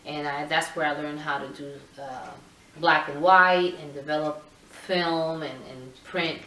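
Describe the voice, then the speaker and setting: A middle-aged woman from Puerto Rico, a photographer answering interview questions in a thoughtful, halting way with many restarts. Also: deep voice, steady tone, bright, forceful tone. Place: indoor room with her camera collection. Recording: roomy sound, mic at a distance.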